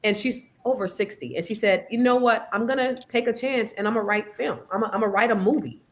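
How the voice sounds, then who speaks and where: A woman's voice: fairly high pitch, quick pace. A woman hosting a podcast, talking casually and with encouragement, using slang, over a video call. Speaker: podcast host.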